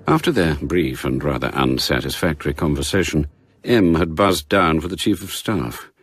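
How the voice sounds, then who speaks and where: An actor narrating a spy radio drama as a measured, grave storyteller. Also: male voice, very deep voice, expressive, husky, dry recording, studio quality, full character voice. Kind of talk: scripted narration.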